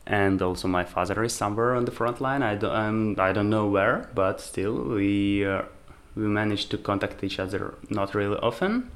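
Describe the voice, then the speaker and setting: A man answering interview questions in a soft-spoken, casual way, sometimes repeating words. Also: lowish voice, moderate pace.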